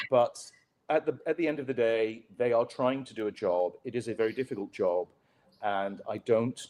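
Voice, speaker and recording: A man's voice: lowish voice, darkish tone. Wry, rambling male interview guest. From Pakistan. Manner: wry, dry humor, many fillers and restarts. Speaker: guest, journalist. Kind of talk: conversational storytelling on a podcast interview.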